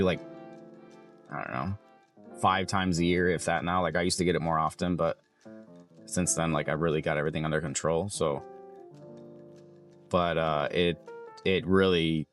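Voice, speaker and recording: A young adult man with a hoarse, raspy voice, chatting casually and rambling as he loses his train of thought. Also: extremely low pitch, fairly bright tone. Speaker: vlogger. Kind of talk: casual vlog chat.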